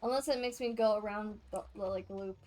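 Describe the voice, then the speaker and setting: A woman's voice: mid-range voice, a little fast, darkish tone. An American woman chatting casually with livestream viewers in slangy everyday talk while she plays a game. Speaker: game streamer.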